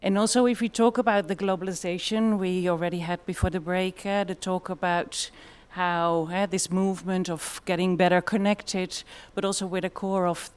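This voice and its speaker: A woman's voice: fairly low pitch, fairly even intonation, moderate pace, few pauses, clean tone. A woman with a Dutch accent giving a conference presentation in a thoughtful way, with frequent fillers. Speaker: conference speaker.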